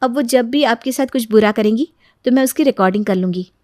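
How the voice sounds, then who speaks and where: A woman's voice: fairly high pitch, quick pace, darkish tone, dry recording, clean studio sound, lightly acted. A woman voicing a kind, respectful but bold young sister-in-law in a story, concerned and indignant. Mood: determined. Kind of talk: Hindi moral story voice acting.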